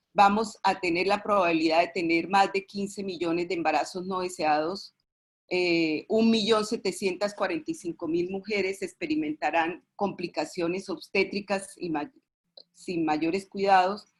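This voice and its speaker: Lower-pitched Colombian woman presenting, halting delivery. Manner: halting, with repeated words and false starts. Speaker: expert presenter at a webinar, representing a pediatric committee. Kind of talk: formal webinar presentation.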